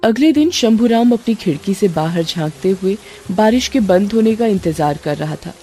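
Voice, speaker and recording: A woman's voice: mid-range voice, lively intonation, moderate pace, mellow, a little hiss or hum. A woman reading a scripted story as a narrator, in a storytelling style. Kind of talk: scripted narration of a Hindi moral horror story. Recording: music under the voice.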